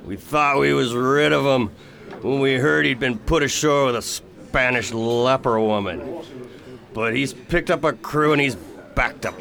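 An actor playing a gruff, plain-spoken merchant captain with salty sailor talk in a radio drama. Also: male voice, mid-range voice, measured pace, fairly bright tone. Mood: gruff gossip. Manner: salty, ungrammatical old-time sailor talk.

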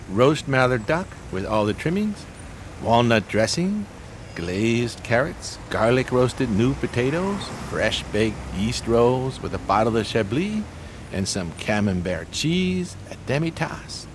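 Radio drama role: male actor genteel homeless schemer, grandiose formal phrasing, lively intonation, faint city noise. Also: medium pitch, measured pace. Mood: grand, savoring. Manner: pompous, florid, formal diction.

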